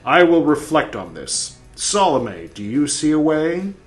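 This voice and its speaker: A man reading as an old male prophet who pleads for the dead in a measured, lamenting way. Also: fairly high pitch, sing-song, soft background music, voice pushed up.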